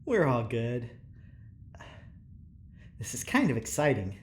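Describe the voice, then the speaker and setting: Man acting an animated, easygoing young researcher. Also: medium pitch, slow pace, a little hiss or hum. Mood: earnest. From New Jersey. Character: easygoing, chatty male researcher, tired but eager. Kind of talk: naturalistic audio drama acting.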